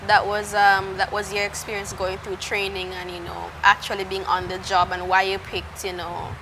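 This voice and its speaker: A woman's voice: medium pitch, monotone, moderate pace. Woman interviewing over noticeable noise. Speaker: podcast host, interviewer. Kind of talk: casual interview chat.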